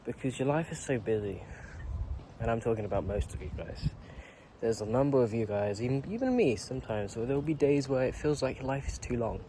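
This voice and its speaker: A man's voice: mid-range voice, lively intonation, a little fast, warm tone, a little hiss or hum, low-quality recording. A man in his late teens, a YouTuber, talking through a rambling, earnest reflection and swearing casually.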